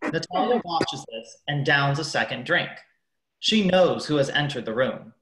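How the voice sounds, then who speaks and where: High-voiced man narrating in an echoey room. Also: expressive, measured pace, a bit bright, slight background noise. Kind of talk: scripted radio play narration.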